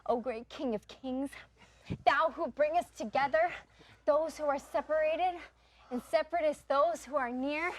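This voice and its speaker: A woman's voice: high-pitched, a little slow, background noise. A woman acting a bossy, jolly troupe comedian with hammy, mock-grand delivery in a stage play. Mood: mock reverent. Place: stage performance.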